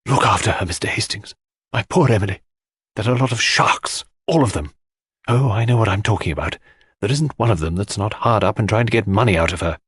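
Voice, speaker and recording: A man's voice: fairly low pitch, fairly quick, fairly bright tone, slightly rough, no room sound, studio quality. A man voicing a blunt, fiercely loyal older woman in an audiobook, giving urgent warnings in short, emphatic phrases. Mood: urgent, protective. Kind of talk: audiobook character dialogue.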